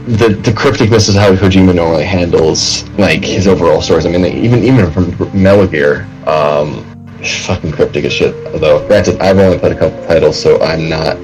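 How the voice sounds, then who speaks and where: Low-voiced man rambling on a podcast. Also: rough recording. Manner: halting, rambling explanations; casual, frequent swearing. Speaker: podcast co-host. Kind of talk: casual panel chat.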